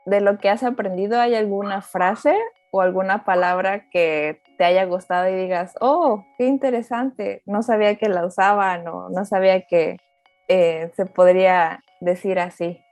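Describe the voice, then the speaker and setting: A woman's voice: mid-range voice, a little slow, a little mellow, no echo, faint music behind. A woman hosting a casual interview, asking questions in an encouraging way. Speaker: host. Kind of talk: friendly interview, casual chat.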